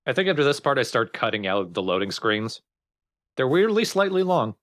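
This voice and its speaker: A man's voice: medium pitch, sing-song, fairly quick, a bit bright. A man hosting a let's play, explaining a video game in casual commentary. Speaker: let's play host and player. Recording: game music behind the voice. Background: video game music and effects.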